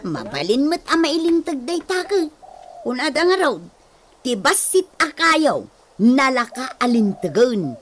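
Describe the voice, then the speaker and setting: A woman's voice: high-pitched, very animated, a little slow, a little hiss or hum. An actress playing a gossipy, teasing older neighbour woman in a radio comedy drama, drawing out her words playfully. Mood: sly, gossipy.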